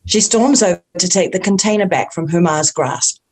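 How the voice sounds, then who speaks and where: A woman's voice: fairly low pitch, moderate pace, darkish tone, breathy edge. A woman reading the stage directions at a script table read.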